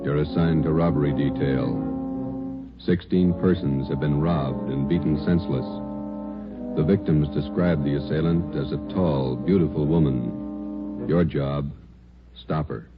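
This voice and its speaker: A man announcing a 1950s radio drama in a solemn, grave, measured style. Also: rumbling low. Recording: phone-like old radio recording, music bed.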